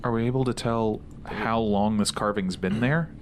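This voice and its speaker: A man's voice: on the low side, moderate pace, a little hiss or hum. A man playing a tabletop game, chatting casually and asking questions as one of the players.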